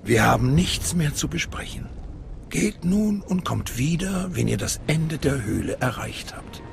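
A man playing an ominous older cult master in a video game, with a hushed, menacing intensity. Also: fairly high pitch, sing-song, a little slow, gravelly, noisy background, exaggerated voice. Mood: grave, commanding. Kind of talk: dramatic video game voice acting in German.

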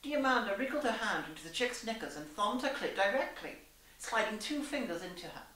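A young woman reads a story aloud in a theatrical, deliberate manner. Her voice is bright and forceful. The sound is echoey and distant from the microphone, as in a plain room. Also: fairly high pitch, lively intonation. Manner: theatrical, deliberate, with emphatic character dialogue. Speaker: reader and host in costume. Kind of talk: dramatised reading of fan fiction. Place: small plain room indoors. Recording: roomy echo, distant camera microphone.

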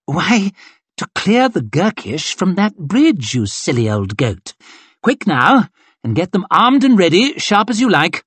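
Colonnello arrogante e beffardo, fatto da un uomo, voce un po' roca, acuta e teatrale. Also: male voice, high-pitched, big pitch swings, unhurried, a bit bright, breathy edge, dry recording, voice pushed up, full character voice. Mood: haughty. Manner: sneering, theatrical, cutting. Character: arrogant, mocking male cavalry colonel.

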